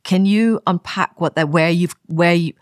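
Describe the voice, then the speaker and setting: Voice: medium pitch, normal speed, no room sound, clean studio sound. A podcast host in their forties who asks questions with enthusiasm in a casual interview.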